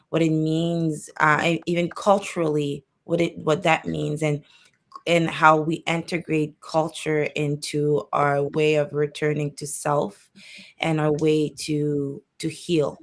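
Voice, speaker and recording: Low, gravelly-voiced woman panelist. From born in Cameroon, raised in Canada. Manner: reflective, searching for words. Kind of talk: panel discussion.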